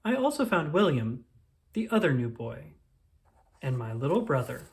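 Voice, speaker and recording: Expressive storybook reader with swooping pitch. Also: moderate pace. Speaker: children's book reader. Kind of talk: storybook read-aloud, first-person child narrator.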